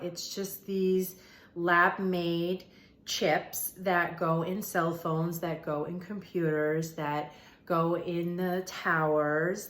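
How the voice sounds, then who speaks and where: A woman's voice: lowish voice, a bit bright. A woman hosting an informal spiritual explainer, talking to viewers in a conversational, emphatic way and repeating phrases for emphasis. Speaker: host of a manifestation and meditation channel. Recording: roomy, echoey room sound.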